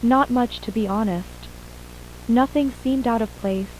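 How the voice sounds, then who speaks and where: A woman's voice: fairly high pitch, a little slow, a little mellow, lightly acted, poor audio. A woman playing a shaken mother and attack survivor, plainly recounting events in an acted horror audio drama interview. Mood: subdued, uneasy. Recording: faint hum and hiss.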